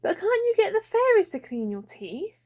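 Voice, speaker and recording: Woman doing a very high, curious little girl. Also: very animated, normal speed, a little mellow. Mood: puzzled, curious. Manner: earnest, questioning. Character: curious, excitable little girl with endless questions.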